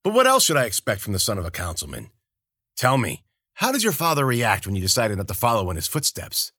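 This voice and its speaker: A man voicing a boisterous, hairy male healer who drinks and laughs loudly and teases everyone. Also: huge pitch swings, fairly quick, no echo, clean studio sound, full character voice. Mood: sly, teasing. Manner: booming laughter, loud and teasing. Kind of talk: audiobook character voice.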